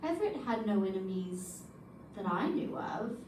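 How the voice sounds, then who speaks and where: A woman's voice: medium pitch, sing-song, normal speed, a little mellow, close to an everyday voice. A woman playing a composed, calculating wealthy widow in an old-time radio detective drama, poised and pointed. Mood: cool, composed. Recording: roomy, distant stage recording, faint noise.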